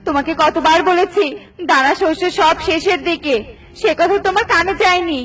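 A woman's voice: extremely high pitch, normal speed, recorded from a distance. A woman voicing a bossy, hot-tempered bird wife in a children's cartoon, nagging shrilly and indignantly. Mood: angry, threatening. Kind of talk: Bengali children's cartoon dialogue.

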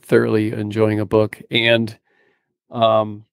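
A man co-hosting a podcast, asking casual interview questions in a halting, hesitant way, close to his mic. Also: lowish voice, completely flat delivery, vocal fry, no echo. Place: home room with books, on a video call. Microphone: close mic on a boom arm.

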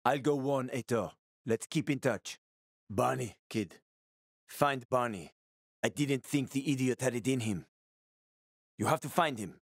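A wry former cabbie surviving in a video game world. He is a man with a medium-pitched voice and a colloquial, sweary way of talking. His manner is urgent and streetwise, and the sound is dry and close. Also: unhurried, long pauses, husky, no echo. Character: wry, streetwise male ex-cabbie survivor, fatherly yet shifty. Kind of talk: video game character dialogue.

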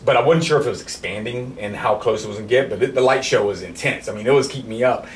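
Excitable man telling stories in a roomy studio. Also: medium pitch, fast. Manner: animated, excitable, swears freely, many restarts. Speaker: podcast host. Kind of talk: casual chat, storytelling. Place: indoor studio with camo backdrop, at a table. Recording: roomy and distant with faint noise.

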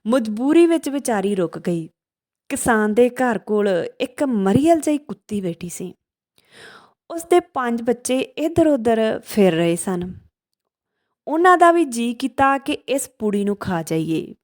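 A woman narrates a children's folk tale as a storyteller. Her voice sits on the high side, and she tells it as a bedtime-style story. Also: sing-song, unhurried, a little mellow.

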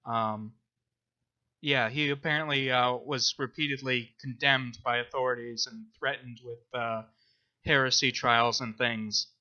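A man with a strikingly bright, sharp voice of medium pitch talks through a book discussion as co-host. His delivery is halting, broken by many ums and uhs. The recording is a little lo-fi. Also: steady tone. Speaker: podcast co-host.

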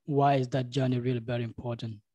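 Young man, podcast host, warm dark tone, dry close sound, stops and restarts a lot. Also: medium pitch, little pitch movement, dry recording. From South Sudan. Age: young adult. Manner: halting, repeats words, many "like" and "you know" fillers. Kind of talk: casual interview. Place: home studio room. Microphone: studio microphone with headphones.

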